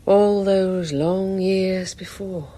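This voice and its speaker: An actress playing an anguished, frightened young wife in a radio drama, breathy and halting, often slipping into whispers. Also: female voice, medium pitch, steady tone, mellow. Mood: haunted, bitter. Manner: halting, fragmented, lingering delivery.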